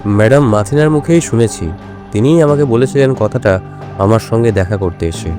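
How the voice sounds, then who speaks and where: A male actor playing a shrewd, self-assured detective in an audio drama, speaking in a measured, explanatory way. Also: medium pitch, over music, natural voice. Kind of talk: Bengali audio drama dialogue.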